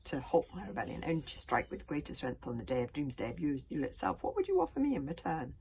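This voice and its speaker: A woman voicing a huge male dragon rebel leader, imperious and scornful, with a forceful growl. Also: deep voice, huge pitch swings, fast talker, creaky, a little hiss or hum, full character voice. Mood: scornful, bargaining.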